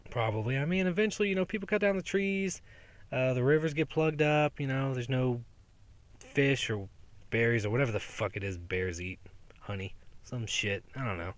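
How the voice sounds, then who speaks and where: A man's voice: lowish voice, no room sound. A young man rambling sarcastically and profanely in a casual outdoor monologue as a podcast host. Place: outdoors in daylight.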